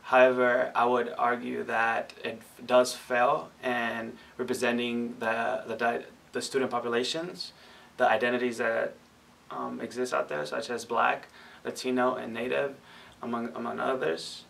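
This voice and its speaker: A young adult man, a college student, giving his opinion in an interview with formal, deliberate wording. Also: mid-range voice, level tone, gravelly, fairly bright tone. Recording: distant mic, faint room noise.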